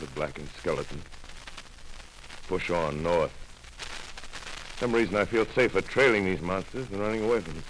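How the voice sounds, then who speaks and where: A brooding, haunted astronomer, left alone in the world. His deep, low voice halts between words as he speaks in a 1930s radio play. A faint hiss from the old broadcast sits under every line. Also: low-quality recording. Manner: brooding, halting, introspective. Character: lone shaken male astronomer, middle-aged survivor. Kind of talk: 1930s radio drama monologue.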